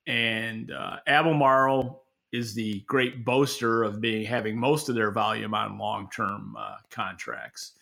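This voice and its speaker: A man's voice: fairly low pitch, fairly even intonation, a little slow, creaky, hardly any pauses, bright, forceful tone. A man co-hosting a podcast, giving opinionated, conversational commentary with a wry, dry sense of humor. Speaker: podcast co-host and market analyst.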